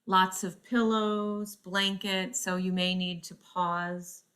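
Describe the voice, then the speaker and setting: A woman teaching yoga nidra, speaking in measured guided-meditation phrases with frequent pauses mid-sentence. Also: on the low side, little pitch movement, a bit bright, smooth.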